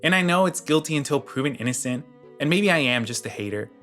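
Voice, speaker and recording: A man's voice: a little high, expressive, fast talker, fairly bright tone, faint music behind. A man reading a scripted commentary voice-over, blunt and sarcastic. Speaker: commentary channel host.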